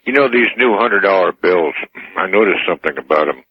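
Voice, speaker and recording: A man's voice: low-pitched, lively intonation, a little fast, slightly rough. An American man chatting casually and plainly as a caller to a radio show, on a muffled, roomy line. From Anchorage, Alaska, United States. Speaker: radio call-in listener. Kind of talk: casual chat.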